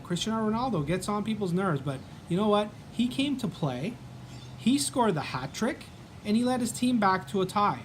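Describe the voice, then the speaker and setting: A man's voice: sits high, slight background noise. A man with a Canadian accent hosting a casual sports vlog, chatting in a rambling way with many ums and restarts.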